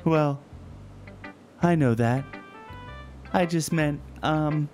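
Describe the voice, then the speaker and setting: A man voicing a shy, gloomy teenage literature club girl with a deep, dark, sullen delivery and a bookish manner. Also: soft background music. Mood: nervous. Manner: stammering when nervous, otherwise measured and bookish.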